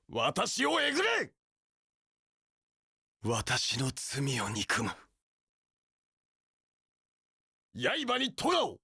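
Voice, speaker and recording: A vengeful young samurai warlord for an action game. His voice is harsh, gravelly and very forceful. He draws his words out slowly and theatrically, seething with fanatical loyalty. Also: medium pitch, no echo, studio quality, heavily acted. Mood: furious. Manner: seething, theatrical, bursting into screams. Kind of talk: anime-style action game voice acting.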